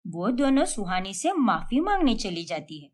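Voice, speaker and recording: A woman narrating a cartoon story in a measured storytelling voice. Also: mid-range voice, very animated.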